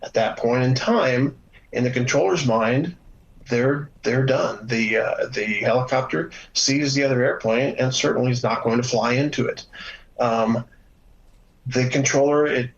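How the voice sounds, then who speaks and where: A man with a creaky, gravelly voice speaks as an expert guest in a news interview. He explains things in a measured, halting way with many hesitations. The sound is dull and lo-fi. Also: mid-range voice. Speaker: former air traffic controller, instructor, guest expert.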